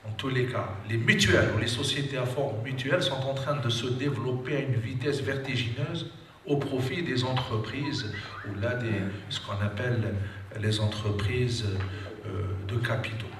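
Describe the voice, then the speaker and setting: A man's voice: medium pitch, normal speed, fairly bright tone. A man giving a formal speech at a seminar, often hedging with a stock filler phrase. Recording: echoey room, distant mic, background noise.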